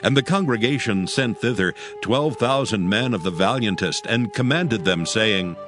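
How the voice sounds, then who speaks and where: Male audio Bible narrator, deep register, solemn measured storytelling, prominent music underneath. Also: lively intonation. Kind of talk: scripted dramatized scripture narration.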